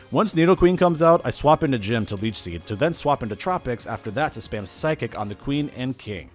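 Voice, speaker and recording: A man giving a casual, scripted voice-over for a video game challenge, with slangy, animated asides. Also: medium pitch. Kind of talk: casual scripted gameplay narration.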